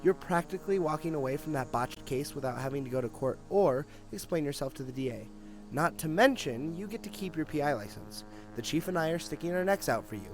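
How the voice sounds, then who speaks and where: A man's voice: fairly high pitch, expressive, brisk, lightly acted. A young man playing a wry, grumbling detective in an audio drama, with a casual, emphatic delivery. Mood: wry, persuasive. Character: wry, grumbling male detective, former partner. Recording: dialogue over clear background music.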